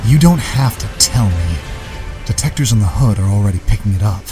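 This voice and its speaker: A man's voice: on the low side, lively intonation, brisk, music in the background, lightly acted. A man playing a cocky, threatening masked gunman vigilante in a comic dub. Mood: cold menace. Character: menacing hard-edged male masked gunman vigilante, coldly taunting.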